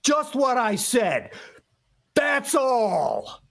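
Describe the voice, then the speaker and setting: A man's voice: very high-pitched, wildly swooping pitch, extremely slow, creaky, fairly bright tone, full character voice. A man playing a tired, home-loving working husband in a vintage-style radio drama, complaining in plain colloquial speech. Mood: annoyed.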